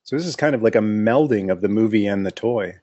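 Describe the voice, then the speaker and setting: A man's voice: fairly low pitch, fast, warm tone, dry recording, lo-fi sound. A man giving casual reaction commentary as he watches along.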